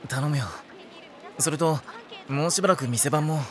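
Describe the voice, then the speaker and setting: A man's voice: fairly high pitch, moderate pace, fairly bright tone, a faint crowd, natural voice. A man voicing a caring but wry teenage schoolboy in an anime audio drama, a casual straight man. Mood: concerned, reassuring.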